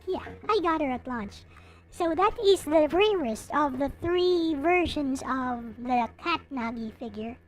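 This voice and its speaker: High-pitched woman livestreamer, slow and lilting. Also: lively intonation, slight background noise. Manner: chatting casually to viewers, replying to comments. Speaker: livestream host. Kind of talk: casual livestream chat showing collectible figures.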